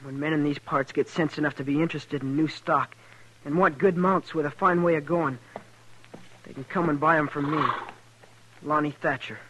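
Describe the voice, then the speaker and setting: Determined frontier farm boy, American, youthful higher pitch, polite, proud, noisy 1950s radio western recording. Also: fairly even intonation, normal speed, sharp tone, dull audio.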